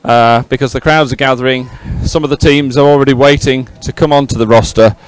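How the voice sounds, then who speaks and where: A man's voice: medium pitch, fairly even intonation, brisk, rough recording. A middle-aged man presenting live outdoors at a sports event on a handheld mic, chatty and rambling, often repeating himself as he fills time. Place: outdoors by a regatta podium. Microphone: handheld microphone.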